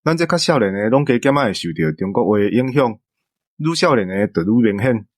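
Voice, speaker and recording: Taiwanese male co-host, young adult: average pitch, casual conversational delivery, close dry recording. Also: lively intonation, fairly quick, no room sound.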